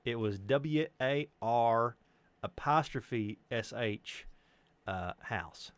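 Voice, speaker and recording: A man's voice: mid-range voice, extremely slow, clear, resonant tone. A man with a neutral American accent telling personal stories in casual chat, joking and rambling with some swearing. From United States, Chicago suburbs and Tennessee. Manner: animated, joking, profane, digressive.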